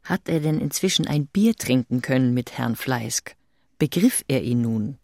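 A woman's voice: a bass voice, expressive, a little slow, creaky, darkish tone, dry recording, clean studio sound, exaggerated voice. A woman playing an inquisitive listener in a radio play, putting questions in a conversational way. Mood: curious.

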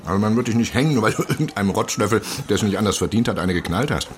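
Man acting lawyer friend, lowish voice, measured, wry, reassuring, radio play dialogue. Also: expressive, lightly acted. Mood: confident. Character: assured male lawyer friend, coaching and reassuring.

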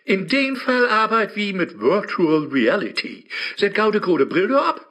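A scheming devil of a radio play, high and slow, swooping from low growls up to high sneers, with a smooth, mocking tone. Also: male voice, very animated, fairly bright tone, exaggerated voice. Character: sly scheming male devil living in a phone app. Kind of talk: rhymed radio play acting.

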